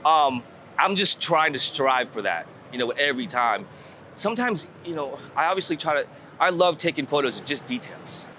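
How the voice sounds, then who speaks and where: Male interviewee, bright voice, informal rambling explainer, outdoor city street with faint traffic noise. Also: fairly high pitch, expressive, moderate pace. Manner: rambling, informal, thinking aloud with repeated phrases. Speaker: guest street photographer. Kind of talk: casual conversational explanation while out shooting.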